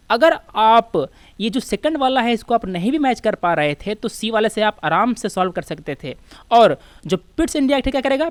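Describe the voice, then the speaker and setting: High-pitched Indian man teaching. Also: dry recording. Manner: conversational, repeats and spells out each step. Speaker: exam-prep teacher. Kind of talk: explanatory lesson with informal asides.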